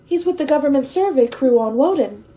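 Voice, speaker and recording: A naive young woman stowaway voiced by an actress in a radio drama. Her voice is high and mellow, with a carefree, innocent chattiness. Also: expressive, a little fast, soft background music. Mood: innocent, unworried. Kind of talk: dramatic radio play acting.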